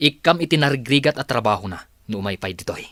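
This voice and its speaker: A man's voice: lowish voice, fairly bright tone, no echo, clean studio sound. A man playing a blunt, impatient company boss in a radio-style drama. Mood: stern. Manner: blunt, questioning.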